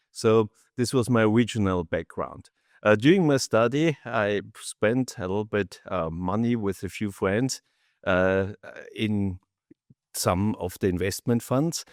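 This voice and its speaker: A middle-aged man with an Austrian accent, a native German speaker, answering as a founder in an interview with halting non-native phrasing. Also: on the low side, a little mellow, dry recording, studio quality. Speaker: company founder, interview guest. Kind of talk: interview answers, recounting own career.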